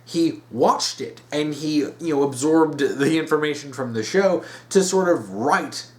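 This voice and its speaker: A man's voice: fairly high pitch, a little slow. A man giving casual opinion commentary in an exasperated, emphatic way, drawing out the words he stresses. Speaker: video commentator.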